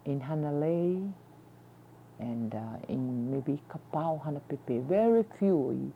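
A woman's voice: sits low, lively intonation, slow pace. An older woman, answering interview questions and explaining things with a rambling "you see.". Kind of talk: oral history conversation. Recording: dull lo-fi recording with faint noise.

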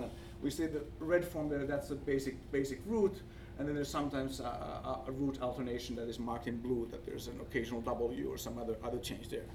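Halting Finnish-accented man presenting, slightly distant. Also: medium pitch. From Finland. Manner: halting, searching for words. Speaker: academic researcher presenting. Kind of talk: conference presentation with slides. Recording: distant mic, faint background noise.

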